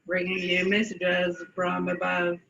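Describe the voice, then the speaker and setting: Woman, guided meditation, deliberate slow phrasing, long pauses, gravelly fry, slightly muffled lo-fi recording. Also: on the low side, monotone, fairly bright tone, a little hiss or hum. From South Africa.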